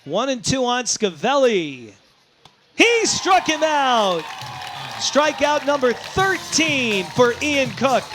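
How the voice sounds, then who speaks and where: A male baseball announcer gives live play-by-play in a high, ringing voice. He takes his time between short, emphatic phrases, with a faint bed of sound behind the broadcast. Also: big pitch swings, takes it slowly, over music, crowd noise. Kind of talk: live sports play-by-play commentary.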